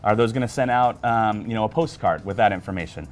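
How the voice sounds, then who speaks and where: A man's voice: fairly low pitch, quick pace, faint music behind. A man giving small business advice on a weekly video chat, friendly and upbeat, telling anecdotes with a few fillers. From Arizona, USA. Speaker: regular business guest. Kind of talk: casual explanatory chat.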